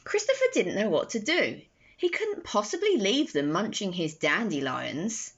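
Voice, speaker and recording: A young woman reads a bedtime story for small children. Her pitch is medium and moves around a lot, and she phrases things deliberately. The phone recording sounds a little dull. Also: very animated, a little hiss or hum. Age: young adult. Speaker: storybook reader. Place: bedroom, indoors. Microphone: phone at arm's length.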